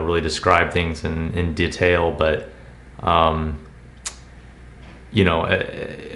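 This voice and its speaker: American male presenter, low and even-toned, casual unscripted showcase delivery, recorded in an echoey room. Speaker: presenter of collectibles showcase videos. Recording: roomy, echoing indoor sound.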